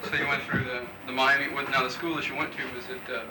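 A man interviewing for a dialect study, asking questions haltingly with many restarts. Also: medium pitch, little pitch movement. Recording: roomy distant old field tape with loud hiss.

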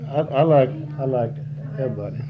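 A man's voice: mid-range voice, quick pace, dull audio, background noise. A seventy-year-old man, reminiscing in a rambling, folksy way and often repeating himself as he is interviewed. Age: 70s. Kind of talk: oral history reminiscence.

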